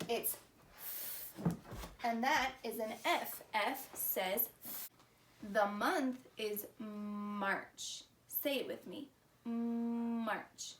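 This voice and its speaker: A woman hosting a preschool story time, talking to young children in a sing-song teacher's manner with simple questions. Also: on the high side. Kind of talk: children's lesson and read-aloud. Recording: roomy, distant mic with faint noise.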